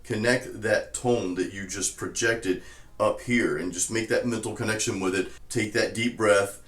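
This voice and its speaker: A man with a naturally low voice teaching death metal vocal technique in a relaxed, explanatory way, often saying "you know". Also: a little slow. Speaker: vocal coach. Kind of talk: casual spoken tutorial. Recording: roomy echo.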